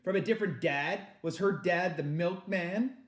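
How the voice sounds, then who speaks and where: A man's voice: sits high, moderate pace, echoey room, pitched above the performer's own voice, lightly acted. A man voicing a stern, older German officer, angry and sneering, in a menacing, insistent manner. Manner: barking orders, menacing, insistent.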